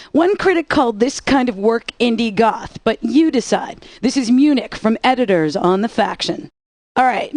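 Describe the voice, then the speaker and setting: A woman's voice: fairly high pitch, darkish tone, dry recording. Sassy woman radio DJ. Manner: sassy, playful, teasing. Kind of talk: scripted radio DJ patter.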